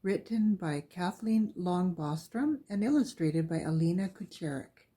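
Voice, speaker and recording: Mellow, slightly husky woman reading aloud. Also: lowish voice, animated, unhurried. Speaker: guest story reader. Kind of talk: reading a rhyming children's picture book aloud.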